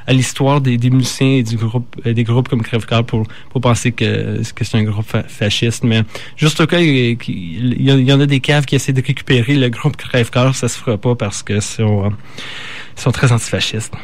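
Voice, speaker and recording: A man with a Canadian French accent hosting a radio show, talking informally and haltingly as he stumbles over words and corrects himself. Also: medium pitch, fairly even intonation. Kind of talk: informal live radio talk.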